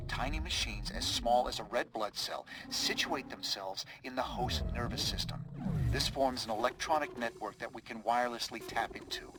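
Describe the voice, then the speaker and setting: A man's voice: mid-range voice, background noise, close to an everyday voice. A man playing a cerebral scientist superhero in a game cutscene, explaining technology in a precise, clinical way. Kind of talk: video game cutscene exposition.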